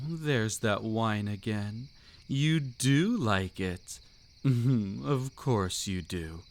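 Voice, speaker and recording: Man playing a slow, seductive vampire count. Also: medium pitch, a little hiss or hum. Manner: velvety, taunting, theatrically aristocratic, drawn-out delivery. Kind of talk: scripted romantic roleplay audio, intimate monologue.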